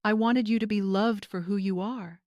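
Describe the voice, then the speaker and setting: A wealthy older mother from a family drama story, read by a woman. She is steely and controlled. Her words are measured and stern, in a dry recording. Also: mid-range voice, steady tone, darkish tone, no room sound, muffled recording, voice pushed up, lightly acted. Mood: solemn, steely.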